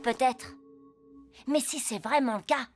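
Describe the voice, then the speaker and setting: A woman's voice: high voice, measured pace, soft background music. A woman voicing an earnest, suspicious child in a cartoon. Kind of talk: animated cartoon dub.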